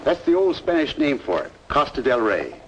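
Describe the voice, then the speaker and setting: An affable, genial fellow in a vintage radio noir drama, acted by a man. His mid-pitched voice moves in lively swings, on a dull old recording. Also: moderate pace, a little hiss or hum, lightly acted.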